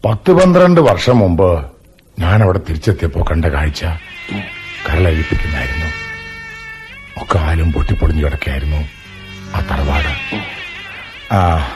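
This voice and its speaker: An actor playing an older, reflective Malayali landlord in a radio drama, with a measured storytelling manner and a dignified air. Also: male voice, a bass voice, expressive, loud music behind the voice.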